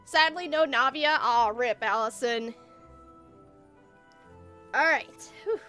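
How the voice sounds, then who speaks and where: An excitable woman livestreamer with a high, bright voice. She chats casually and jumps between thoughts, with faint music behind her. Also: slow pace. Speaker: game livestreamer. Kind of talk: casual livestream chat while gaming.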